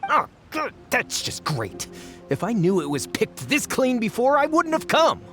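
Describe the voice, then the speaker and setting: Sly greedy archaeologist. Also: male voice, very high-pitched, huge pitch swings, unhurried, fairly bright tone, faint music behind, exaggerated voice. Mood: scheming. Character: greedy scheming man archaeologist.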